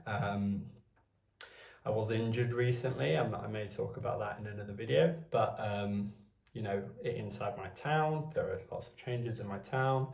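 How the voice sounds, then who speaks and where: Guy in his 20s, gravelly low voice, just rambling thoughts to his phone, roomy echo. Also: a little mellow, recorded from a distance. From Wales. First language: Welsh. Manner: meandering, thinking aloud. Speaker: vlogger. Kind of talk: unscripted musing to camera.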